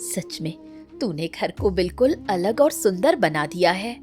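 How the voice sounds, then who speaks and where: महिला की निभाई चंचल सुर वाली स्नेही ग्रामीण स्त्री. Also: female voice, on the low side, big pitch swings, a little slow, darkish tone, soft background music. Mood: affectionate. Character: gentle, affectionate women of a village family. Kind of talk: Hindi cartoon story acting.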